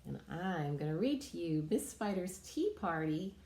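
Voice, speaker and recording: A woman presenting story time for young children, reading a picture book aloud in a measured, expressive way. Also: on the low side.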